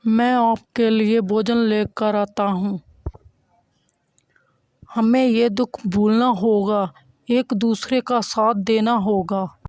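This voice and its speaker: Woman as a slow, earnest father bird. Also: on the high side, steady tone, a little mellow, dry recording. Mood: consoling. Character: gentle, caring father bird, consoling husband. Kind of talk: Hindi children's moral cartoon acting.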